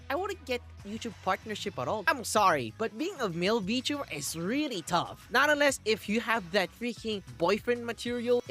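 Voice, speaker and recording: A man live streaming, chatting casually to his viewers in an excitable, rambling way with lots of restarts. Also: high-pitched. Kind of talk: casual chat to a live audience.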